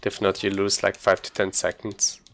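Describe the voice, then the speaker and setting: A man with a fairly low voice and a heavy creak talks through a video game run. He explains his choices casually, in the informal style of a speedrunner commentating. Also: completely flat delivery, normal speed, fairly bright tone, soft background music.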